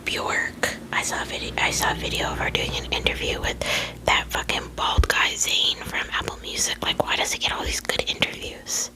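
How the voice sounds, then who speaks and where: A woman's voice: moderate pace, low-quality recording. A young woman whispering close to the mic, rambling, swearing freely, full of fillers. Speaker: vlogger. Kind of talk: whispered casual chat.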